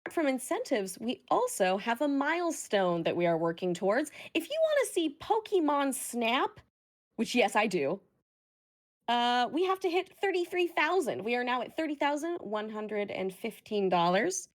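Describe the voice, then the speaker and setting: A woman's voice: a little high, big pitch swings, moderate pace. Playful woman hosting a charity stream. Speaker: charity marathon host. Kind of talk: casual live hosting, reading donation incentives.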